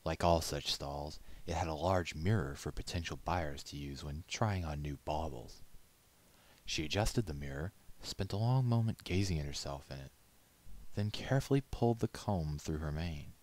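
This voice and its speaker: A man's voice: rumbling low, moderate pace, a little mellow. A man reading a story aloud as scripted storybook narration. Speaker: amateur story reader.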